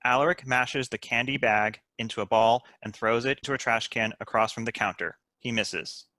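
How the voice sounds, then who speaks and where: A man's voice: medium pitch, moderate pace, sharp tone, dry recording. A man reading out stage directions as scripted narration for a screenplay table read.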